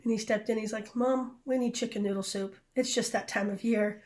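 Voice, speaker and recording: A middle-aged woman co-hosting a casual chat, hoarse and gravelly from a cold, with a strained voice and frequent agreeing murmurs. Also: fairly high pitch, steady tone, a little fast, a little mellow. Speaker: podcast co-host.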